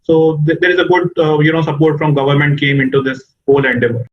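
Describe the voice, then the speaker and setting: A man from India with a slightly higher voice explains his startup haltingly, full of uhs and you-knows. His audio comes over a video call and sounds dull and lo-fi. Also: little pitch movement. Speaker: startup founder panelist. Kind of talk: virtual panel talk.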